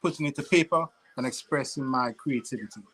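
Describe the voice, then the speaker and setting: A man's voice: medium pitch, brisk, a bit bright. A man from Saint Lucia with a Saint Lucian accent, a rapper talking casually about his music in an interview. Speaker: rapper guest.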